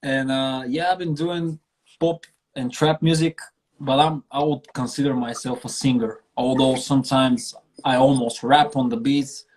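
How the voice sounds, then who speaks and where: Man from Bulgaria, medium pitch, casual storytelling, slangy, emphatic, earnest interview guest. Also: fairly even intonation, a little slow, a little mellow, rough recording. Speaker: interview guest, singer and street performer.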